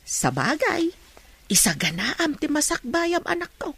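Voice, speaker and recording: A woman's voice: high voice, big pitch swings, measured pace, creaky, a bit bright, dry recording, exaggerated voice. A woman playing a worried, tender middle-aged mother in a radio drama, with an Ilocano Filipino accent.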